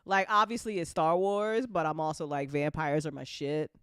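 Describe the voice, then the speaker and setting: A woman hosting a casual chat about writing, talking in an animated, playful way with plenty of swearing. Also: deep voice, moderate pace, smooth, dry recording, studio quality. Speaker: host of a fantasy audio drama chat show, writer.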